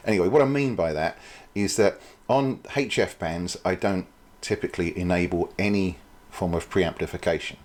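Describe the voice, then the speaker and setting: A man's voice: low-pitched, moderate pace, a little mellow. A man presenting an informal product demonstration, explaining things in a hesitant, thinking-aloud way.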